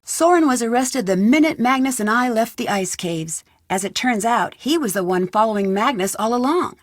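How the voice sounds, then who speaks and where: A plucky young American woman detective in an adventure game. Her voice sits fairly high and is recorded close and dry. She speaks in an earnest, conversational way, with an inquisitive edge. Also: lively intonation, normal speed, dry recording. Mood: reflective, upbeat. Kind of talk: video game voice acting, narrated letter and dialogue.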